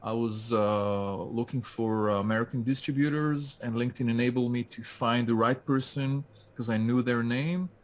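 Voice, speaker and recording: A man's voice: mid-range voice, fairly even intonation, normal speed, hardly any pauses. A man, a guest on a call-in interview, speaking with many hesitations and restarts. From France. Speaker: guest, LinkedIn specialist. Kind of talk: casual interview over a call.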